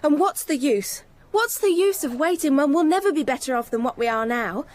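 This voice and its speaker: An actress playing a loving, hopeful young working-class woman in a radio drama, earnest and gently pleading. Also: high-pitched, lively intonation, brisk. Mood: wistful. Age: young adult.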